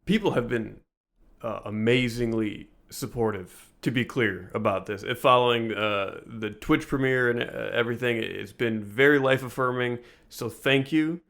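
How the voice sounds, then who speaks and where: Male co-host, mid-pitched, conversational, dryly sardonic and given to exaggeration. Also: moderate pace, vocal fry, fairly bright tone. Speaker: podcast co-host. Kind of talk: casual behind-the-scenes podcast chat.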